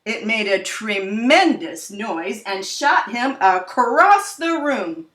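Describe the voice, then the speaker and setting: A woman reading a children's story aloud expressively, with dramatic, drawn-out emphasis. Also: on the high side, expressive, a bit bright. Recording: roomy echo, distant microphone.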